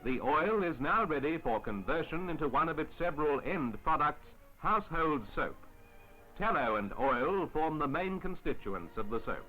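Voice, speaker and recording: A man narrating a 1950s newsreel in a formal, measured announcer style on an old film soundtrack. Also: a little high, very animated, rough recording. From Australia. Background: music.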